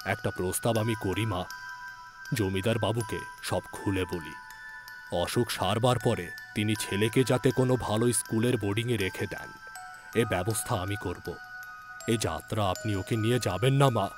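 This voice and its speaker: A man playing an earnest, devoted village physician who speaks in a deliberate, pleading way in a dramatised story. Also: lowish voice, steady tone, over music, lightly acted. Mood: humble pleading.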